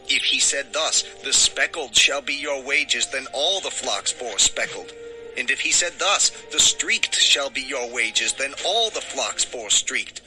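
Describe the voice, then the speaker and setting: An actor playing an earnest, wronged shepherd husband, declaiming in a measured way in a dramatized scripture story. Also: male voice, mid-range voice, low-quality recording. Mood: earnest, aggrieved.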